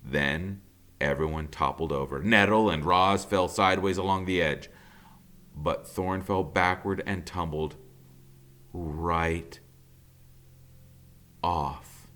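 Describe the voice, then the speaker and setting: A man reading a children's novel aloud in a theatrical, emphatic storytelling style, with dramatic pauses. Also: low voice, fairly bright tone.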